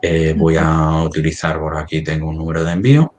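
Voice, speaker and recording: A man presenting a live software demonstration in a webinar, haltingly thinking aloud as he works on screen. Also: extremely low pitch, little pitch movement, a little hiss or hum.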